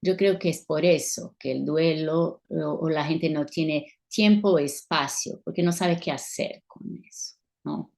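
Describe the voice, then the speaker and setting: A woman's voice: low voice, measured pace, creaky, darkish tone. A Brazilian woman with a Portuguese accent, a psychologist guest explaining things thoughtfully and searching for words. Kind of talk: explaining in an interview.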